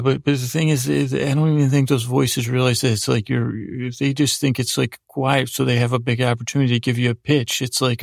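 A man's voice: mid-range voice, no room sound, clean studio sound. A man hosting a podcast in a rambling, informal bedtime monologue, hesitant and self-deprecating, often stammering and circling back. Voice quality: gravelly, creaky. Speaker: podcast host.

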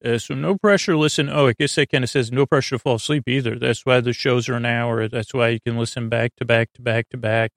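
A man hosting a sleep podcast, rambling in a meandering, stammering monologue with frequent digressions and a gravelly, creaky voice. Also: medium pitch, fairly even intonation, brisk, few pauses, no echo, clean studio sound. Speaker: podcast host.

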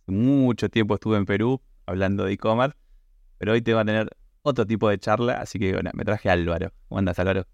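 Lower-pitched Argentine man hosting a podcast. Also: fast, creaky, darkish tone, dry recording, lo-fi sound. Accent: Argentine Spanish. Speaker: podcast host interviewer. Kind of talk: conversational podcast interview.

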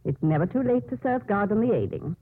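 An actress playing a kindly, wise Mother Superior, speaking in a measured, formal way in 1950s radio drama style. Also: medium pitch, expressive, husky. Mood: consoling. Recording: dull, muffled 1950s radio recording.

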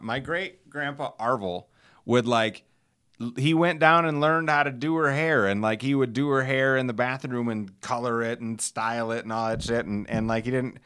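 A man's voice: mid-range voice, normal speed, fairly bright tone, clean tone, no room sound, studio quality. A middle-aged man hosting a podcast, telling casual, rambling stories in a folksy, profane, self-deprecating way. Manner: chuckles while telling stories. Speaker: podcast host.

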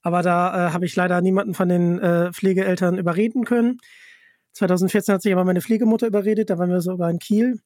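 A podcast host chatting casually in an interview, with a halting delivery that often repeats words. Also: medium pitch, steady tone, darkish tone, no echo, clean studio sound.

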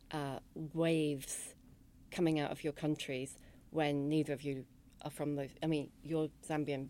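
Mellow low-voiced woman moderator. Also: slight background noise. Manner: inquisitive, asks probing questions. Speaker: panel moderator. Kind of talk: moderating a panel discussion.

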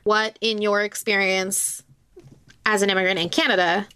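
A woman hosting a conversational podcast interview, informal and quick to laugh. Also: mid-range voice, level tone, sharp tone, clean tone.

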